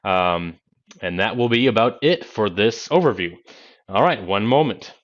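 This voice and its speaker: A man's voice: fairly low pitch, expressive, unhurried. A man, giving a casual product demo and explaining things step by step. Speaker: presenter of product review videos. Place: small indoor office. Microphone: Bluetooth headset microphone. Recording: dull lo-fi headset sound.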